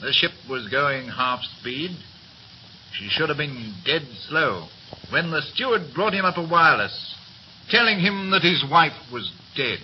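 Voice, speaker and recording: An actor playing a steady, respectful ship's quartermaster in a 1930s radio play, measured and deferential. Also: male voice, fairly high pitch. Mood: grave storytelling. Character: steady, respectful male quartermaster, secretly a disgraced captain. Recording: muffled, noisy 1930s radio recording.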